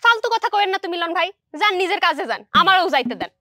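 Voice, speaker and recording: A woman's voice: very high voice, big pitch swings, quick pace. An actress playing an irritated young village woman with a Bangladeshi accent, curt and dismissive in a television drama. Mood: annoyed.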